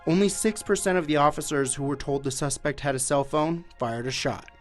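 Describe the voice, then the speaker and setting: American man, TV reporter, scripted narration, slightly high voice, music underneath. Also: a little fast. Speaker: TV news reporter.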